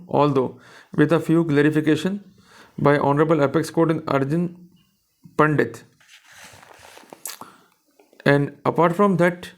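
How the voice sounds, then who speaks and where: Indian guy teaching a law class, kinda high gravelly voice, explains stuff like a teacher. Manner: didactic, checks understanding with short questions; reads English passages slowly and deliberately, explains quickly in Hindi. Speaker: law lecturer. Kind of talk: lecture, reading out judgments and explaining them.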